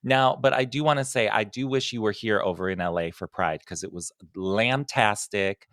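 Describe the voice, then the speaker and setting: A man co-hosting a podcast in gushing, campy chat, tossing in "honey" and "oh my God." His voice sits fairly low and rises when excited. The sound is dry and close. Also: moderate pace, no room sound. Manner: effusive, campy, says "honey". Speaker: podcast co-host. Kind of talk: casual chat. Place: Los Angeles.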